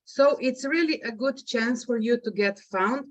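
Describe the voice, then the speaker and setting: A woman's voice: on the high side, normal speed. A woman from Slovenia, a non-native English speaker, presenting as a guest coach on a video call with many hesitant fillers. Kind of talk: webinar presentation. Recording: muffled video call audio.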